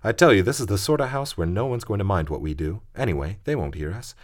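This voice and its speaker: Man doing a hearty well-spoken older brother, brisk and confident. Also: fairly low pitch, expressive, pitched above the performer's own voice, natural voice. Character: eldest boy, cheerful, confident and proper.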